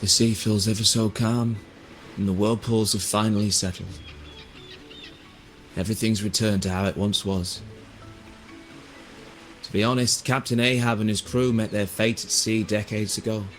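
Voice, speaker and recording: A man playing a thoughtful, earnest seafarer in a video game, musing in a reflective and deliberate way. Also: lowish voice, steady tone, soft background music, close to an everyday voice. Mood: solemn, wistful. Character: thoughtful adult male seafarer, earnest and wistful. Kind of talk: video game voice acting, narrative dialogue.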